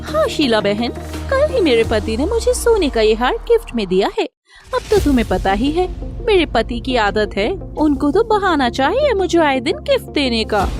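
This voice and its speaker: A woman playing a boastful, self-satisfied married neighbor who shows off in an animated moral story. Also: high voice, moderate pace, over music. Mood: smug, boastful.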